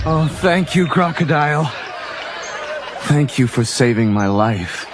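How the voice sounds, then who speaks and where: A man's voice: a little high, expressive, slow, pitched above the performer's own voice. Man playing an earnest, sheepish storybook zebra. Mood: grateful relief. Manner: earnest, contrite. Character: grateful, sheepish male zebra. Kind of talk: storybook character acting. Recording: music behind.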